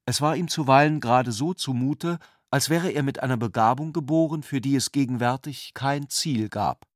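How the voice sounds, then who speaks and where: A man's voice: mid-range voice, normal speed, no room sound, clean studio sound. A man reading scripted literary narration for a radio production.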